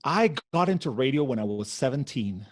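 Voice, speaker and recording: A man from Venezuela, a guest in an interview, telling his story in an animated way with many fillers. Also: medium pitch, fairly quick. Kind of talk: conversational interview.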